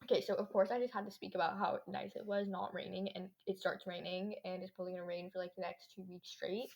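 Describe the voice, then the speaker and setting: Casting: teen female podcast host, average pitch, informal and chatty, wandering off on slangy tangents. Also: little pitch movement, quick pace, gravelly. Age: teenager. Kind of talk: casual solo podcast chat. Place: own bedroom.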